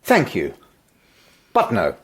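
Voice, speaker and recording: A wry, earnest English schoolmaster in a radio drama. His pitch swings widely and his voice has a husky edge, while his phrasing stays formal and articulate. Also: wildly swooping pitch, slow pace, heavily acted. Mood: resolute. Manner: formal, articulate, dryly indignant. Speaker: lead actor and narrator. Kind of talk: radio drama acting and narration.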